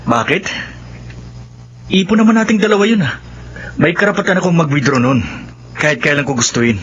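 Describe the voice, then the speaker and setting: An actor playing an evasive, defensive middle-aged husband with a Filipino accent in a radio drama. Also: male voice, fairly high pitch, expressive, brisk, a bit bright, breathy edge. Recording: muffled radio recording with faint noise.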